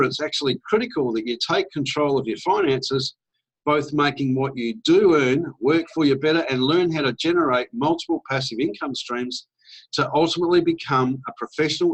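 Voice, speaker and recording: A man's voice: medium pitch, breathy edge, poor audio. A middle-aged Australian man giving an upbeat, persuasive sales presentation with casual fillers. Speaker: network marketing presenter.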